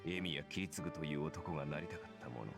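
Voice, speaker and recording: An actor playing a stern, scornful man in a Japanese visual novel, speaking in a cold, accusing, deliberate way. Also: very deep voice, creaky. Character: stern, scornful man confronting a younger idealist. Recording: game dialogue over loud music.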